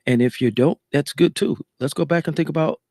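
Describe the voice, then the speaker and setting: A man, a pastor, joining a live call to share a measured, folksy business remark. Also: on the high side, a little mellow, no echo, studio quality. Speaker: pastor, audience member joining.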